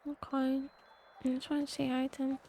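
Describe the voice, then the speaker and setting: A woman's voice: high voice, monotone, normal speed, mellow, a little hiss or hum. A woman game streamer giving casual, playful, sweary commentary on a playthrough.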